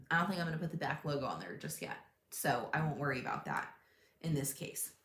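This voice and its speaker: Chatty, low-voiced woman crafting live. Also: echoey room. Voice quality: loud voice. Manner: chatty, thinks aloud, laughs often, reads viewer comments. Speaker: crafting livestream host. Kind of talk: casual live crafting chat. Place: home craft room. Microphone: tablet mic across the room.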